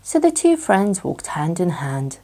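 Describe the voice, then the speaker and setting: A woman storyteller with a fairly low voice and very lively intonation. She narrates expressively, in the style of a children's bedtime story. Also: a little slow.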